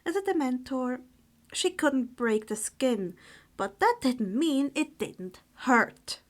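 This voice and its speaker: A woman reading a story aloud as an audiobook narrator, in an expressive scripted style. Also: high voice, slow pace. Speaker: audiobook reader of fan fiction.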